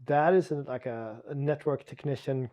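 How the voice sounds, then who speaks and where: A man from Sweden with a Swedish accent, a guest telling his story in a relaxed chat, with frequent restarts and fillers. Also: mid-range voice, moderate pace. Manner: talks with many restarts and "you know" fillers.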